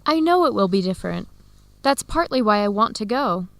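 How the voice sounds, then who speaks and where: A woman's voice: medium pitch, expressive, moderate pace, gravelly, dry recording. A woman playing a friendly, considerate young Ethiopian American student in an educational radio drama, speaking earnestly.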